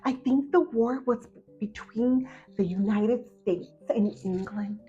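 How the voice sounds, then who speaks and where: A woman's voice: fairly high pitch, takes it slowly. A woman voicing an eager, talkative young schoolgirl who blurts out facts in a children's picture book read-aloud. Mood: whispering. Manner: excitable, blurting, hesitant ums. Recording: muffled audio with faint music behind.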